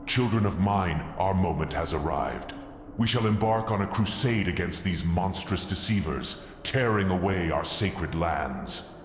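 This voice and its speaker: An actor playing a menacing, wrathful undead general in a fantasy audio drama, delivering a grandiose, ominous speech with a cavernous effect. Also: male voice, deep voice, measured pace, a little hiss or hum. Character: undead warlord general rallying his horde, wrathful, menacing. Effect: cavernous reverb. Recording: long cavernous reverb, background ambience.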